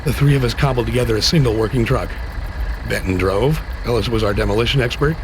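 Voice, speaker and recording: A man plays a seasoned soldier in a late-nineties video game cutscene. He speaks in a terse, matter-of-fact way at a middling pitch, steely and controlled. There is faint noise behind the voice. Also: quick pace, lightly acted. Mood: stoic. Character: steely male soldier turned covert agent, testifying calmly. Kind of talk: 1990s video game voice acting, testimony narration.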